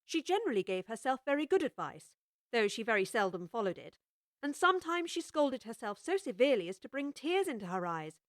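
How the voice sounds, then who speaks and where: A woman reading scripted storybook narration in a measured, expressive way. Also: a little high, dry recording, studio quality. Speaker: audiobook narrator.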